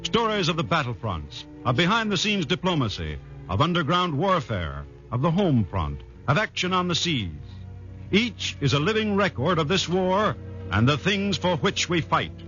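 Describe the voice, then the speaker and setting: A man's voice: fairly high pitch, big pitch swings, moderate pace, fairly bright tone. A man with an American accent announcing a scripted 1940s radio program in a formal, declamatory way. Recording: dull 1940s radio recording, music behind.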